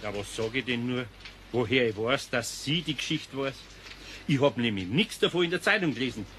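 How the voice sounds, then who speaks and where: A man's voice: mid-range voice, lively intonation, brisk, fairly bright tone, close to an everyday voice. An actor playing a good-hearted, grumbling older craftsman in a children's radio play, speaking colloquially with a Bavarian accent. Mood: reasoning, soothing. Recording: noisy old cassette audio.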